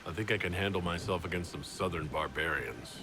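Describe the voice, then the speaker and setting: An actor playing a calm, resolute heroic man in a game cutscene, measured and earnest. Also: low voice, background noise, close to an everyday voice. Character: steady young male chosen hero, calm, resolute. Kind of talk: dramatic game cutscene acting.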